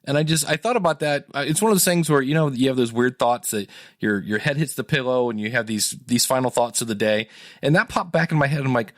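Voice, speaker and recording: A man's voice: mid-range voice, fast talker. A man casually hosting a podcast and chatting with contributors. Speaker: podcast host.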